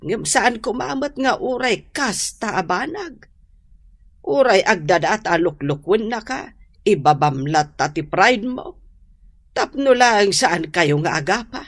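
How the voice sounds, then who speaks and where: A woman with a Filipino accent playing a stern middle-aged mother, admonishing and deliberate, in a radio drama. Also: mid-range voice, lively intonation, husky. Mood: reproachful. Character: stern middle-aged mother scolding her grown daughter. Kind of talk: Ilocano radio drama acting.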